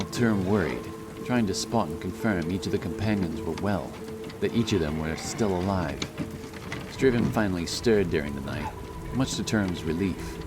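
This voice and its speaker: A man narrating a fantasy audiobook in a measured, solemn, dramatic storytelling style. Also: deep voice, noisy background. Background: background music and sound effects.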